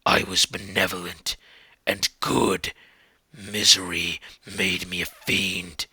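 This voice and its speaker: A man voicing an anguished, bitter monster in a theatrical literary monologue, with a gravelly rasp and slow, archaic, eloquent delivery. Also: deep voice, flat delivery, sharp tone, no echo, full character voice. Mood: mournful, reproachful. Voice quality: gravelly, rasping. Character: anguished male monster pleading with his creator, bitter and eloquent.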